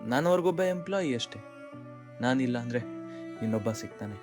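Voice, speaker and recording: A man's voice: mid-range voice, expressive, a little fast, music in the background, close to an everyday voice. A man with an Indian accent playing an earnest, dejected young employee, apologetic and hesitant, in short-film drama dialogue.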